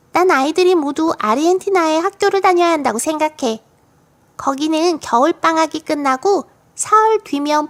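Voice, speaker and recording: Storybook little girl: high voice, cheeky, confident, matter-of-fact about the wildest tall tales. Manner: matter-of-fact, playful tall-tale telling. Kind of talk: children's storybook audiobook acting.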